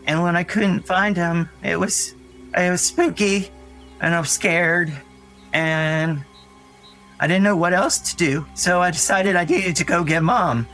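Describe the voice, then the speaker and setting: An actor playing an earnest, anxious young boy who tells his story in a rambling, halting way in an audio drama. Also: high voice, a bit bright. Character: Dougie, frightened earnest young boy recounting his brother's disappearance. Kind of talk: naturalistic audio drama acting. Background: music under the voice.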